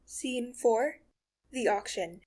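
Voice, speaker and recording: A woman's voice: on the high side, slow, a little mellow. A woman reading scripted narration and scene titles for a radio play. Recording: noisy recording with clicks.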